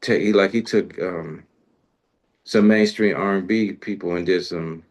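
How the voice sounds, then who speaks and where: Male music host, lower register, loose rambling delivery, colloquial and slangy. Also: steady tone, unhurried. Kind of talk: casual interview chat.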